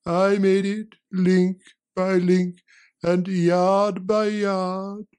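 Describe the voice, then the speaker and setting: A woman voicing the chained ghost of an old male business partner, slow and loud with drawn-out wailing delivery. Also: medium pitch, little pitch movement, clean tone. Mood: solemn. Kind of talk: audiobook character reading.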